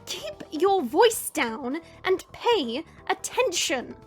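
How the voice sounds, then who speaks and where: A woman playing a stern, proud but caring fantasy warrior, speaking in a measured, formal and dry way. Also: extremely high pitch, big pitch swings, soft background music, full character voice. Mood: commanding. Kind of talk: fantasy audio roleplay acting.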